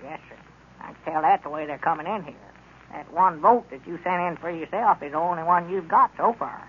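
An actor playing a stubborn old country constable with a rural Southern accent in old-time radio comedy. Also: male voice, fairly high pitch, fairly quick, natural voice. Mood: gleeful. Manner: folksy rustic speech. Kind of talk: 1930s-40s radio comedy acting. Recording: tinny, noisy old radio recording.

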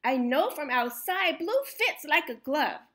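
A woman reading the part of a brave, openhearted schoolchild in a storybook, speaking up loudly and emphatically. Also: high voice, very animated, unhurried, exaggerated voice. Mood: brave, proud. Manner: loud, declarative, emphatic.

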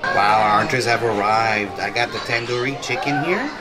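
A man's voice: lowish voice, moderate pace. A man in his thirties co-hosting a casual food review in a restaurant. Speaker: food vlog co-host. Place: restaurant dining room, indoors. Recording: busy restaurant crowd chatter. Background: diners chattering.

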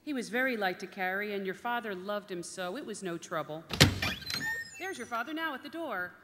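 A woman playing a gentle, grieving wife and mother in a staged radio drama, measured and tender. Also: medium pitch, lively intonation, natural voice. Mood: tender, sad. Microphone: stage mic on stand. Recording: reverberant noisy theatre hall.

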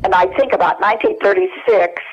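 A woman's voice: mid-range voice, brisk, slightly rough. Older woman reminiscing over the telephone. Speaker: interview guest. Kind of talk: anecdotal reminiscence in an interview. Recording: dull telephone line.

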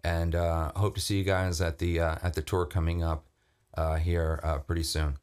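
A man's voice: deep voice, little pitch movement, moderate pace, darkish tone, clear, resonant tone. A middle-aged man casually explaining his vocal effects chain in a home music studio, talking loosely with many fillers and restarts. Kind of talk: casual screen-recorded tutorial. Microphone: studio condenser mic with headphones.

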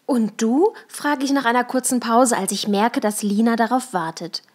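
A woman's voice: on the high side, normal speed. A young adult woman reading her own short story as a first-person literary narrator. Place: improvised desk studio at home.

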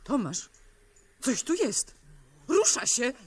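A woman's voice: sits high, sing-song, takes it slowly, background noise, heavily acted. An actress playing a spirited, teasing young woman in a 1970s radio play, with a pointed and slightly ironic delivery. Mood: alarmed, indignant.

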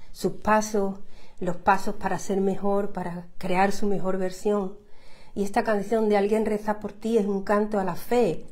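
Effusive Andalusian woman on a livestream. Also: medium pitch. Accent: Andalusian Spanish. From Andalusia, Spain. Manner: effusive, warm-hearted, many restarts and fillers. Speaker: singer-songwriter hosting a livestream. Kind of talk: casual livestream chat with viewers. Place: living room at home, indoors. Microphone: laptop or phone camera at close range.

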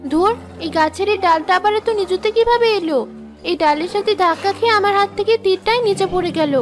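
A woman's voice: very high voice, fast talker, over music. A woman voicing a proud, impulsive young princess in a cartoon story, with a haughty, boastful manner. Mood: frustrated. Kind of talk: Bengali cartoon story dialogue.

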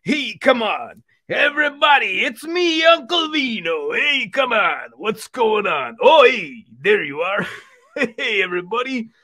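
A man voicing an excitable, friendly male puppet who hosts an exercise show for children and repeatedly calls out to the audience. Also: extremely high pitch, a little slow, voice pushed up, full character voice. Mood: excited, encouraging. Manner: exclamatory, repetitive, addresses the audience.

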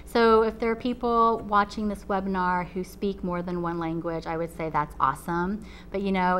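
A low-pitched woman professor speaks in a measured, explanatory way, often pausing on "um". Her first language is Chinese. She sits in a TV studio, and a faint hum runs under the voice. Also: a bit bright. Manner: measured, explanatory, frequent ums. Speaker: college professor. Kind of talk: recorded talk to a webinar audience. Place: TV studio with a painted backdrop.

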